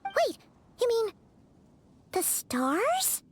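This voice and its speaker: A woman voicing a tiny, excitable fairy companion in a video game, squeaky and childlike, with a chirpy, blunt manner. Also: very slow, noisy background, heavily acted. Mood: puzzled, eager. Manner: chirpy, speaks of herself in third person, blunt. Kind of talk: animated video game voice acting. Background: background music.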